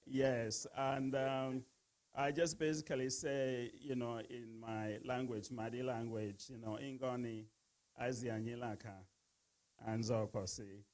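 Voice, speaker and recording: A man from Uganda giving a halting speech at a gala, full of fillers. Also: mid-range voice, little pitch movement, a little mellow. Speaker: speaker at a gala. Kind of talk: casual speech to an audience.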